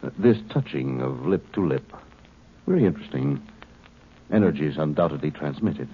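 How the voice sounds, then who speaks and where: An actor playing a cold, detached alien male scientist in a 1950s radio drama, with a flat, clinical delivery. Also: rumbling low, moderate pace, slight background noise. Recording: muffled old radio broadcast.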